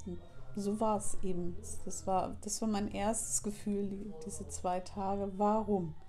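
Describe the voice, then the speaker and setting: A woman in her fifties, an artist speaking candidly and thoughtfully in a relaxed interview, recorded with a lapel mic in a cozy room. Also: mid-range voice, a little slow. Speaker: guest, artist and author. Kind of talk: reflective interview talk. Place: cafe-like room indoors.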